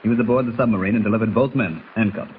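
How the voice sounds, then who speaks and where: An actor playing a respectful junior naval officer in a 1940s radio serial, deferential and matter-of-fact. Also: male voice, fairly low pitch, rapid-fire, natural voice. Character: dutiful, respectful young male naval ensign. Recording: dull, slightly noisy 1940s radio recording.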